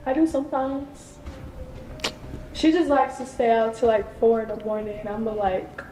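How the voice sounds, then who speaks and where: Mellow-voiced young woman chatting. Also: a little high, moderate pace, slight background noise, rough recording. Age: young adult. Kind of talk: casual chat.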